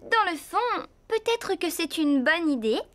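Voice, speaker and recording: A woman voicing a sensible, kindly teenage girl in a French cartoon dub, speaking in a gentle, measured way. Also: extremely high pitch, sing-song, music in the background, heavily acted. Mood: thoughtful.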